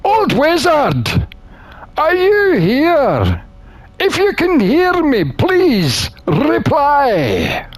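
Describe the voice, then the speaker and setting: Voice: sits high, huge pitch swings, drawn-out words, no room sound, pitched above the performer's own voice, exaggerated voice. A gamer voicing an anxious, earnest satyr adventurer from a visual novel, exclamatory and dramatic. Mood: desperate shouting.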